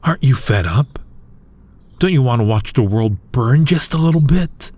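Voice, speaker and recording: A man's voice: mid-range voice, expressive, moderate pace, dark tone, breathy edge. A man playing a smug yet protective supervillain in an intimate audio roleplay, speaking huskily in a conversational, teasing and coaxing way. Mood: sly, coaxing. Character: male supervillain, smug yet protective toward his hero nemesis. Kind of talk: intimate ASMR-style audio roleplay acting.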